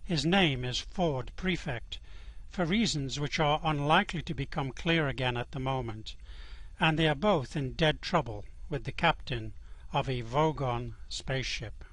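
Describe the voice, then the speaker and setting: A man's voice: mid-range voice, measured pace, fairly bright tone, no room sound, low-quality recording. A man reading scripted storytelling narration for a radio play.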